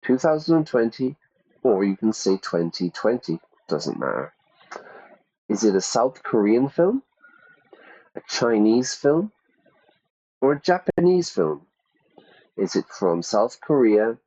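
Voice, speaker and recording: A man's voice: mid-range voice, big pitch swings, takes it slowly, pauses often, mellow, muffled recording. A man teaching English to learners, reading out quiz questions and repeating the answer options. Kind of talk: ESL quiz lesson.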